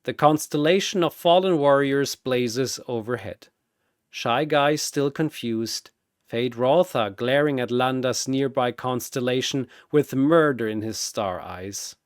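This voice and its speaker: Slow, dramatic male battle narrator. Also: medium pitch, smooth, dry recording, studio quality.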